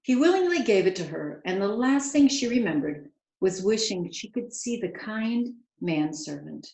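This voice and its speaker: A woman reading a picture book aloud with expressive, dramatic storyteller phrasing, drawing out key words. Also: medium pitch, moderate pace, reverberant, poor audio. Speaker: children's author reading aloud.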